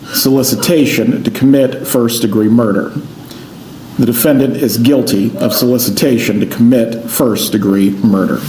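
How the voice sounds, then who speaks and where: A man with an American accent speaking as a judge in formal court proceedings, in a measured, deliberate way. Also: mid-range voice, dark tone. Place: indoor courtroom. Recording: roomy courtroom sound with faint noise.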